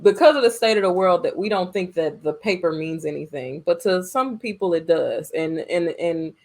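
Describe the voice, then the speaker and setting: A woman's voice: lowish voice, normal speed. An American woman co-hosting a podcast in casual, opinionated talk, repeating phrases to drive her points home. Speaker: podcast co-host. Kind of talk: casual movie discussion.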